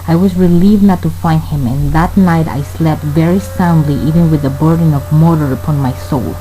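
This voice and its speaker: A man playing a brooding murderer who narrates his crime in a radio play, formal and deliberate. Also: on the high side, fairly even intonation, warm tone, close to an everyday voice, rough recording. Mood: brooding, calculating. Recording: faint hum and noise.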